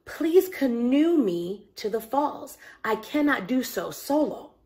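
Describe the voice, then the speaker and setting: A pompous Victorian gentleman explorer acted out by a woman storyteller, pitched high and brimming with delight. He speaks in formal, old-fashioned exclamations, with big swoops and an unhurried, grand delivery. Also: very animated. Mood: requesting.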